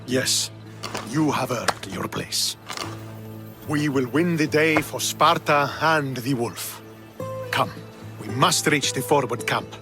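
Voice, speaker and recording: A man's voice: medium pitch, slow pace, long pauses, music in the background, natural voice. An actor playing a proud, stern male Spartan war commander in a video game, stately and martial. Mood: proud, commanding. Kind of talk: dramatic game cutscene acting.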